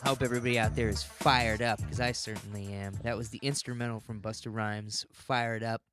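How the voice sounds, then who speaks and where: A man's voice: on the low side, level tone, brisk, fairly bright tone, faint music behind. A man hosting a podcast in a casual, rambling monologue, informal and given to ranting and swearing. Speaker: podcast host.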